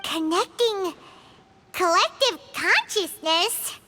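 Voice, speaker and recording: A woman voicing a small, excitable childlike fairy companion in a video game, squeaky and exclamatory. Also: slow pace, exaggerated voice. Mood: surprised. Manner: exclamatory, refers to self by name.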